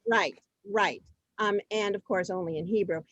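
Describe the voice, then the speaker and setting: A woman's voice: medium pitch, normal speed, dry recording, lo-fi sound. A woman hosting an amateur comic readers' theater, moving between scripted lines and ad-libs. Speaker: host of a comic contest.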